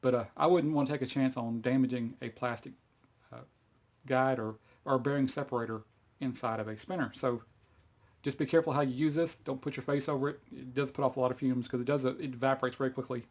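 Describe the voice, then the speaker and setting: A man's voice: medium pitch, steady tone, quick pace. A middle-aged man with a Texas accent casually walks through a fidget spinner cleaning demo in a rambling, folksy way. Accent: Texan. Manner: rambling, folksy, chuckles often, many fillers. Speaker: YouTube hobbyist demonstrating fidget spinner cleaning. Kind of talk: casual how-to demonstration.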